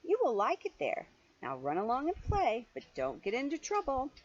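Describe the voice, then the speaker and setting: A mature woman reading a picture book aloud to a child in an animated, playful way, with chatty asides. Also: on the high side, normal speed. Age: middle-aged or older. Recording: dull lo-fi home recording, faint noise.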